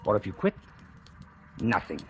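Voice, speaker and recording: An actor playing a smug, needling, wealthy sugar planter in 1940s radio drama, with a sardonic, businesslike manner. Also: male voice, natural voice. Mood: challenging. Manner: sardonic, taunting, brisk businesslike. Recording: old radio recording with music.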